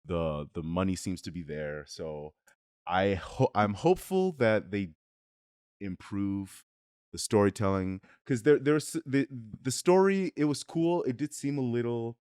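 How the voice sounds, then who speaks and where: Low-voiced man chatting about movies, dry sound. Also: expressive, measured pace, a little mellow, clean tone, no echo. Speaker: video review host. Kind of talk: casual movie review chat.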